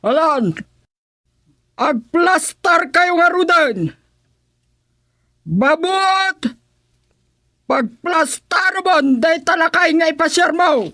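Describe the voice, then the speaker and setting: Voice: very high voice, animated, drawn-out words, long pauses, bright, forceful tone, heavily acted. A performer voicing a mischievous kapre giant in a comic radio drama, teasing and bossy.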